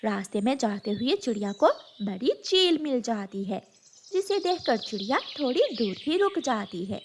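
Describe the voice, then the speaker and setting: High-pitched, lively woman storyteller. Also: normal speed, a little hiss or hum. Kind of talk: scripted cartoon narration.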